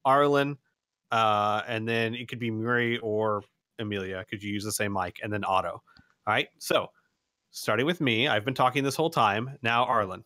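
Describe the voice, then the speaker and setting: A man's voice: fairly low pitch, normal speed, pushed and bright, dry recording, clean studio sound. A young man hosting and running a tabletop game, chatting in a rambling, self-deprecating way full of restarts and laughs. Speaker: game master and channel host. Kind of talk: casual chat, running a tabletop session.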